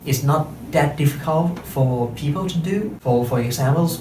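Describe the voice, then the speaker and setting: A man in his thirties from Thailand, speaking English with a Thai accent as a second language, giving a careful prepared talk with pauses and self-corrections. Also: a little high, echoey room, recorded from a distance, background noise. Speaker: interpreter and translator giving a talk.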